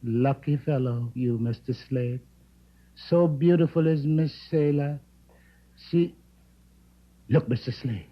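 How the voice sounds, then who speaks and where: An actor playing an easygoing Caribbean calypso singer in old radio drama, with a Caribbean accent and lilting manner, on a muffled recording. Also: male voice, mid-range voice. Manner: lilting, unhurried, musical. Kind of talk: 1940s-50s radio drama acting. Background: plucked guitar.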